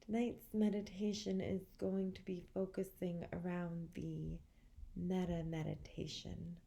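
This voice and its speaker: Slow, gravelly-edged woman guiding relaxation. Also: lowish voice, level tone. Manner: soothing, gentle, measured with long pauses. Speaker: guided meditation instructor. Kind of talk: guided relaxation meditation.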